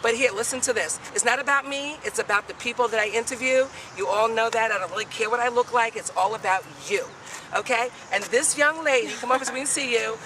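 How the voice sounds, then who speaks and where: A woman's voice: on the high side, fast. Chatty upbeat woman host interviewing outdoors, off-mic, traffic noise behind. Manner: chatty, upbeat, self-deprecating. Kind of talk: street interview. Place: outdoors in a city. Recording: distant mic with street noise.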